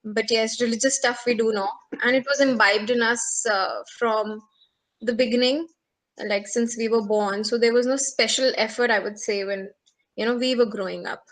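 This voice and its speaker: A woman speaking as a guest on a panel, measured and reflective. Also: on the high side, fairly even intonation, poor audio. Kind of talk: casual panel talk.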